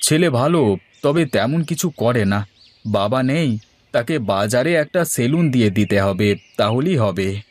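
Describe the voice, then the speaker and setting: A man's voice: medium pitch, animated, measured pace, a little mellow, no echo. A man voicing a middle-aged village father in a moral story cartoon, anxious to get his daughter married. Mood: matter-of-fact.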